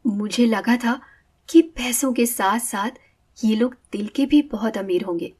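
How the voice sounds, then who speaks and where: A woman playing a weak, mistreated elder sister in a moral story, talking in a soft, halting, sorrowful voice. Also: fairly high pitch, husky. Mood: weary, sorrowful.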